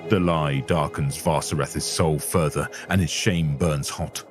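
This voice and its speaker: A man's voice: very deep voice, fairly even intonation, unhurried, soft background music. A man reading scripted, dramatic narration for an audio story.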